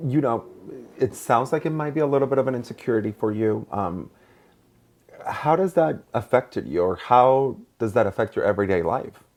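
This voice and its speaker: A man's voice: mid-range voice, lively intonation, normal speed, darkish tone. A man hosting a podcast interview, asking curious questions and laughing easily. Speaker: podcast host. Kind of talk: casual interview.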